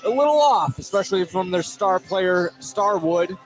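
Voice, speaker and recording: Male color commentator, high-pitched, conversational and halting, live basketball radio broadcast with music behind. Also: animated, poor audio. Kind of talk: conversational live sports analysis. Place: high school gym.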